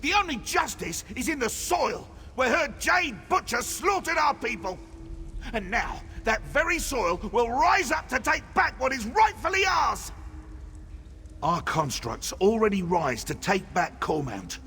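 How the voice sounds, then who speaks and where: An actor playing a bitter, vengeful rebel commander in a fantasy video game, speaking in a theatrical, impassioned way. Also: male voice, very high-pitched, big pitch swings, a little slow, sharp tone, slight background noise, full character voice. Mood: furious, impassioned. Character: bitter, vengeful male rebel commander grieving his father.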